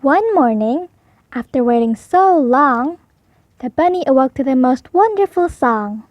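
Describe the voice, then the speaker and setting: High, lilting woman reading a storybook slowly. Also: animated, no echo, highs cut off. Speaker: storybook read-aloud narrator. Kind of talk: slow children's storybook narration.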